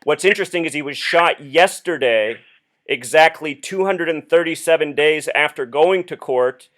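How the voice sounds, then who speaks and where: A man with a somewhat high-pitched voice talks as a caller laying out his case. He is earnest and insistent, explaining point by point with deliberate phrasing and pointed questions. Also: a bit bright. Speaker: caller phoning the police with a theory. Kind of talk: earnest explanatory phone monologue.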